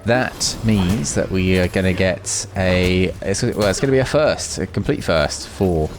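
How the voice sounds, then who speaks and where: A man's voice: sits low, measured pace, slight background noise. A man hosting a gaming video, giving casual, unscripted commentary and musing aloud as he goes. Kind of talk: casual off-the-cuff gameplay commentary.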